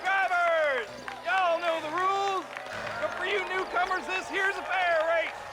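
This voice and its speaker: High-pitched Southern race starter. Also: male voice, very noisy. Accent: American Southern. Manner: folksy, hollering announcer. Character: folksy, loud frontier race starter. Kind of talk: video game cutscene acting.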